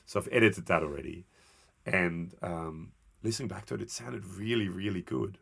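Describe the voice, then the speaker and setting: Male podcast co-host, gravelly and stuffed up, rambling chat. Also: low voice, normal speed, a bit bright. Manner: rambling, with many restarts and fillers. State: stuffed-up nose from a cold. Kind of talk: casual chat.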